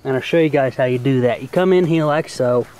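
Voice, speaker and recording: A man's voice: mid-range voice, fairly quick, darkish tone, slight background noise. A man giving a casual hands-on tutorial in a folksy, plain-spoken way, as host of a homemade how-to video. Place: outdoors in and around a car.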